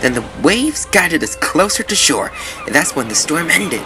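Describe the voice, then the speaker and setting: A man voicing a small, earnest, excitable talking sailboat with a youthful air in an amateur audio drama. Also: a little high, moderate pace, over music. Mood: relieved.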